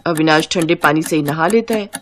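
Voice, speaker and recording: A woman's voice: deep voice, fairly quick, fairly bright tone. A woman giving scripted storytelling narration for a moral-story cartoon.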